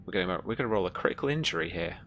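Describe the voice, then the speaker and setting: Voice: low voice, animated, rapid-fire, fairly bright tone, slight background noise. A game master narrating a tabletop role-playing game in a conversational, descriptive way.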